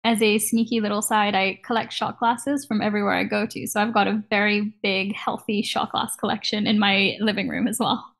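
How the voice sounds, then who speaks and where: A woman's voice: mid-range voice, flat delivery, a little fast, vocal fry, few pauses, fairly bright tone. A woman in her thirties from Kenya, chatting casually as an interview guest and laughing easily.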